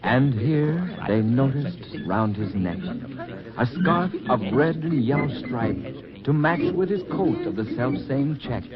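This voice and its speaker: A man narrating a poem in a slow, expressive, rhythmic way, on a dull 1940s radio broadcast. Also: medium pitch, over a noisy crowd. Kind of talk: dramatic poetry narration.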